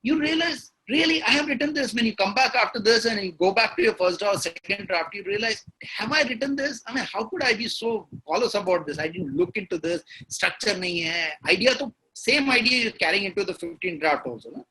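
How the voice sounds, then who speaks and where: A man's voice: high-pitched, lively intonation, a little fast, few pauses, bright, forceful tone, breathy edge, rough recording. A man with an Indian accent, a guest in an interview, talking emphatically and laying out his points one by one, often repeating key words. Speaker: guest writer. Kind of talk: interview talk on storytelling.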